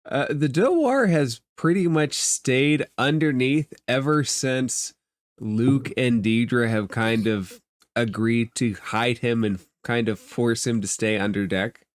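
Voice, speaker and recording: A man's voice: medium pitch, slow pace, no room sound, clean studio sound. A man working as game master, narrating a tabletop game off the cuff in a conversational, explanatory way.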